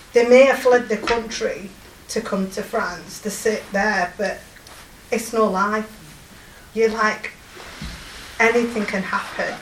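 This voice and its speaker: A woman in her forties with a strong Yorkshire accent, telling of her volunteer work in a halting, emotional way with many pauses and fillers. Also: medium pitch, reverberant, far from the mic, slight background noise, low-quality recording. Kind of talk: personal testimony.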